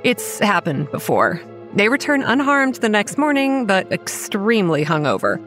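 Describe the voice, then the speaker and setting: An actress playing a wry, weary young campground manager who narrates a horror audio drama in a measured, dry way. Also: female voice, mid-range voice, big pitch swings, fairly bright tone. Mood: matter-of-fact. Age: young adult. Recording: music under the voice.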